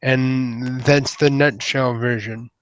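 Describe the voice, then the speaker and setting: A man playing an earnest, polite young troll newcomer in an improvised roleplay, speaking haltingly in rather formal words. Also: mid-range voice, steady tone, dull audio, lightly acted. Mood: earnest, careful. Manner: hesitant, halting, formal wording. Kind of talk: improvised in-character roleplay dialogue.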